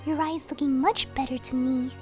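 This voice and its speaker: A woman as a gentle, high-voiced timid pony mother. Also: fairly even intonation, normal speed, soft background music, close to an everyday voice. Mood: concerned. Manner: soft-spoken, polite, soothing. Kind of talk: animated fan radio play acting.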